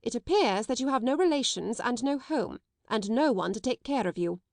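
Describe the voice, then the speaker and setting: A harsh, domineering schoolmistress, put on by a woman reading aloud, scolding in a cold, sharp, raised voice that pushes higher and louder than the reader's own. The sound is close and dry, with no room around it. She talks down to her listener like someone used to being obeyed. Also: lively intonation, moderate pace, no room sound. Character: stern domineering middle-aged woman schoolmistress. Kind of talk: audiobook character voice.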